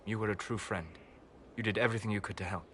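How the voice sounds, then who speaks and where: A man's voice: fairly low pitch, steady tone, a bit bright, slight background noise, lightly acted. Man playing a grave, solemn elven mage. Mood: quiet sorrow. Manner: measured, solemn, deliberate phrasing. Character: grave elven mage, grieving and righteous, fiercely protective. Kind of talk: video game dialogue.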